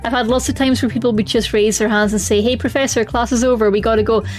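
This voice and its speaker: A woman's voice: on the high side, steady tone, quick pace, darkish tone. A woman streaming a game, chatting expressively and reading the story text aloud. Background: faint music.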